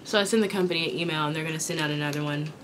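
A young woman from Kentucky chatting casually while eating, her voice raspy from a sore throat, rambling with many ums. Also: sits low, racing, noisy background. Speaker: vlogger. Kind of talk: casual mukbang chat. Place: small indoor room with dark curtain backdrop.

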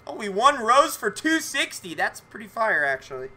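A man's voice: high-pitched, moderate pace. A young man with a lisp, chatting casually and jokingly as he live streams a video game, swearing mildly. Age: young adult. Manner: animated, joking, mildly profane. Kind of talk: casual livestream chat with viewers. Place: indoor room at home.

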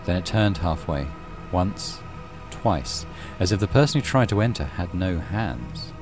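A man narrates a horror game in a low, expressive voice. His reading is dramatic and varies a lot in pitch, with faint music underneath. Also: unhurried. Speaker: let's play host reading a game aloud.

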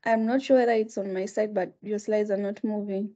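A woman's voice: medium pitch, fairly even intonation, a little fast, dark tone. A woman moderating on a video call. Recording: dull lo-fi call audio.

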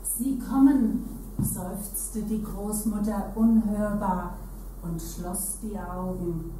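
A woman's voice: medium pitch, fairly even intonation, slow, dark tone. A woman narrating literature in a staged reading. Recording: echoey hall, distant, noisy.

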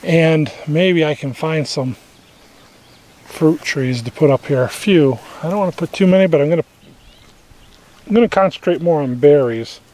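A man's voice: on the high side, moderate pace, pauses often, mellow. A man giving an unscripted, plainspoken walk-through of his homestead garden, talking casually outdoors from behind a handheld camera. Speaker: homesteader hosting a garden tour vlog. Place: outdoors in a rural yard. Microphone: handheld camera's built-in microphone, speaker behind camera.

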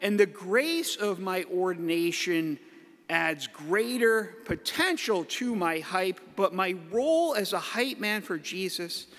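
A middle-aged man, a priest, giving a playful homily from the pulpit with the odd hype-man shout. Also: high-pitched. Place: large church. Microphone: pulpit mic. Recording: echoey church hall.

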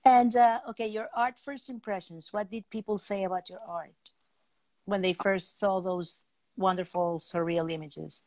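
Halting middle-aged woman hosting. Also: mid-range voice, fairly even intonation, a little mellow, no room sound. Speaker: talk show host. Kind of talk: casual video interview, asking questions. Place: room at home, video call.

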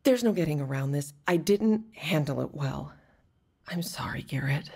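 An actress playing an estranged, apologetic wife in a radio drama, speaking with careful earnestness. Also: female voice, low voice, animated, normal speed, a little mellow, husky, heavily acted. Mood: earnest, contrite.

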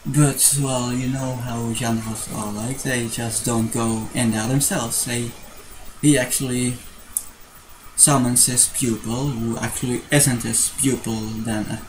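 A man's voice: mid-range voice, fairly even intonation, a little slow, noisy background. A young man with a Dutch accent, rambling hesitantly in a casual vlog from his bedroom, recorded on a webcam. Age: young adult. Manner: rambling, restarts often, hesitant fillers. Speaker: vlogger. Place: small bedroom with posters. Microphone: webcam at desk.

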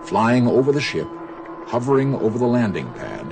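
A man's voice: mid-range voice, sing-song, moderate pace, creaky, music in the background. A man narrating a radio drama in a dramatic, scripted style.

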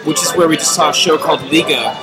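Young man over loud bar music. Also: on the high side, fast, rough recording. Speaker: co-host. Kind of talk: casual review chat. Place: busy restaurant bar at night. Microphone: camera held close at the table.

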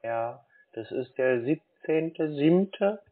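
Slow, dithering man on a dull line. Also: on the high side, lively intonation. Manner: dithering, halting, repeats himself. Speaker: scam baiter playing a gullible customer. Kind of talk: phone call, slow put-on pensioner act. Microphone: phone call. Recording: dull band-limited phone line.

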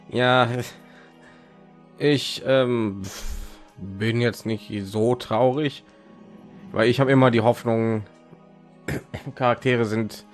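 A man giving casual let's play commentary, thinking aloud and rambling, with phlegm in his throat. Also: fairly low pitch.

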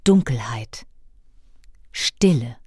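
A woman narrating a scripted children's audio play in a dramatic, expressive storybook manner. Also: very deep voice, very slow, breathy edge, no room sound, studio quality.

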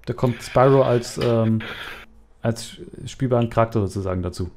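A man's voice: mid-range voice, normal speed, darkish tone. A man hosting a casual gaming podcast, quizzing his co-hosts and often searching for words. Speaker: podcast host.

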